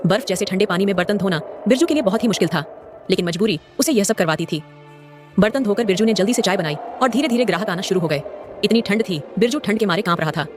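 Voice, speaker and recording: A narrator reading an animated story in a brisk, plain storytelling manner. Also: mid-range voice, over music.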